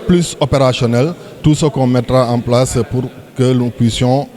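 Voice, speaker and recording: A man with a Senegalese French accent giving a semi-formal interview statement as a local official, in halting, measured official phrasing. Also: mid-range voice, fairly even intonation, a little mellow.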